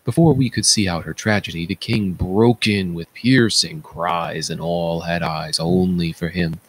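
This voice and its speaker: A man voicing a solemn palace attendant who recounts horrors in a declamatory stage-tragedy reading, with dramatic pauses. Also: sits low, steady tone, pitched below the performer's own voice. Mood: grim, urgent.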